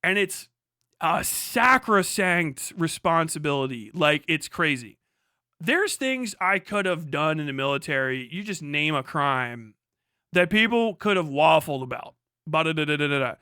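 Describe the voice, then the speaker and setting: American man, crisp bright voice, casual livestream commentary, sardonic, rambling, sweary. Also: a little high, expressive, a little slow, no echo, studio quality. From United States. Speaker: live streamer, political commentator.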